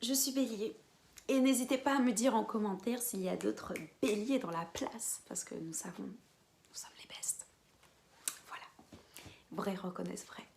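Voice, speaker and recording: A woman in her twenties from French Guiana, chatting casually to camera as a beauty vlogger, with quiet whispered asides. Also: mid-range voice, unhurried, vocal fry, pauses often, slight background noise. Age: 20s. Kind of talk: casual vlog chat to camera.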